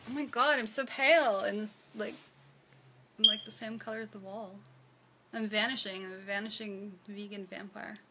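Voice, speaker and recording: A woman's voice: mid-range voice, fairly even intonation, moderate pace, very noisy. A young woman, chatting casually on a phone livestream from a small room and reading viewer comments aloud with frequent giggles. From New Zealand. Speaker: livestream host. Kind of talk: casual live-stream chat answering viewers. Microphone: phone at arm's length.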